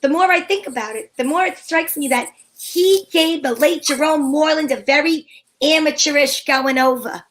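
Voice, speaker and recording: A breezy, flirtatious lady detective acted by a woman with a high voice. She wisecracks archly in the style of a 1940s radio mystery, moving between narration and banter. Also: normal speed, a bit bright. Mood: breezy, suspicious. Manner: arch, wisecracking, endearments. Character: sassy female private eye, flirtatious and breezy.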